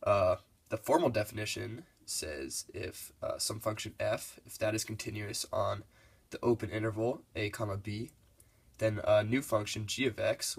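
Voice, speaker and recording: A man's voice: on the low side, vocal fry, husky. A congested young man giving a casual, laid-back math lesson over his worked problems. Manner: laid-back, loose and casual, with many fillers.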